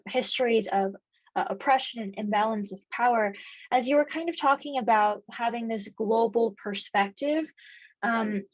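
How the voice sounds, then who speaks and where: A woman's voice: medium pitch, dry recording, rough recording. A woman hosting an interview, asking long polite questions with plenty of hesitations. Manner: polite, uses many um fillers while asking long questions. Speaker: interviewer, host.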